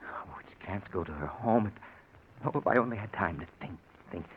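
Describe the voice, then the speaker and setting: Through the hiss of a muffled old radio play comes a man acting a low-pitched husband with murder on his conscience. He is nervous and unstable, stammering and hesitating as if cornered. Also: expressive, fairly quick, natural voice. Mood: nervous, evasive.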